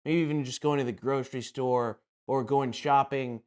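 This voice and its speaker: A man's voice: medium pitch, steady tone, normal speed. A man hosting a podcast, putting questions to a guest in a casual, conversational style. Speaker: podcast host.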